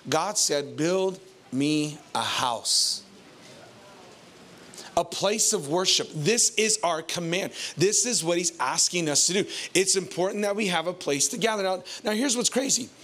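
An American male pastor delivers an informal sermon in a bright, forceful voice pitched a little high. He is animated and conversational, using colloquial phrasing and repeating phrases for emphasis. He speaks into a handheld microphone from a church stage.

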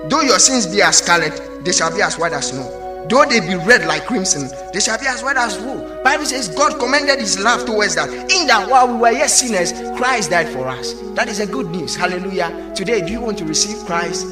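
A man's voice: high-pitched, animated, fairly quick, music in the background. A man preaching an evangelical sermon, exhorting emphatically and putting rhetorical questions to his listeners.

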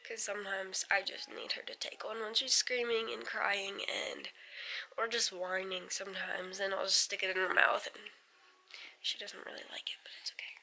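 Bright young American woman chatting casually. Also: mid-range voice, level tone, moderate pace, creaky, rough recording. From United States. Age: late teens to about twenty. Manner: informal, rambling, chatty. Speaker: vlogger, young mother. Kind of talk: casual family vlog chat. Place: indoors at home. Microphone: handheld camera at arm's length. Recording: noticeable background noise, handheld home recording.

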